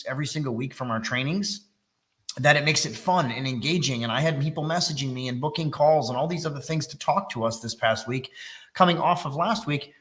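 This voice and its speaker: A man's voice: mid-range voice, a bit bright. A middle-aged man from Texas, a little congested, hosting a live business webinar in a chatty, teasing, self-deprecating way. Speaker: workshop host. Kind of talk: casual live webinar talk.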